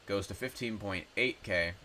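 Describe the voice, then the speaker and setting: A young man in his twenties with a low voice, made raspy by a cold, that still sounds bright and forward. He talks through his numbers in a loose, chatty way, full of ums and small corrections. A faint hiss sits under the recording. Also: normal speed. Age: 20s. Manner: chatty, relaxed, with frequent ums and self-corrections. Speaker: personal finance YouTuber. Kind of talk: casual screen-share walkthrough.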